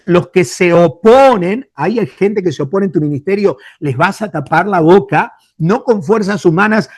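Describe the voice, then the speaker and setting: A man's voice: high voice, dry recording, studio quality. A man with a Rioplatense Spanish accent, a pastor giving an emphatic Bible teaching sermon with frequent hesitations. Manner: emphatic, addresses listeners fondly, frequent hesitations.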